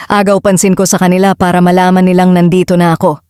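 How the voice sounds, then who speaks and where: A woman's voice: lowish voice, little pitch movement, fast talker, dark tone, clear, resonant tone, dry recording, clean studio sound. A woman narrating a scripted first-person audio drama. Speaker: narrator and lead voice actor.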